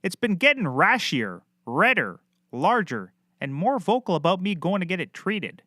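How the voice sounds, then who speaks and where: High-pitched, cocky clueless private eye. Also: male voice, sing-song, normal speed, bright, forceful tone, dry recording, studio quality, heavily acted. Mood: glib, excitable. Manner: glib, rambling, self-assured. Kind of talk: scripted comedy acting.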